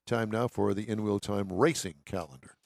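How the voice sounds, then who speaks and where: A man's voice: fairly low pitch, normal speed, creaky, darkish tone, no echo. Wry male radio host, dry sound. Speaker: host of an automotive radio show. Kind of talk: radio hosting, reading items and bantering.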